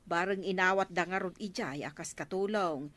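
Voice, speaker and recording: A woman's voice: on the low side, normal speed, a bit bright, natural voice. A woman playing a gossiping neighbor in a comic radio drama. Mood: gossipy.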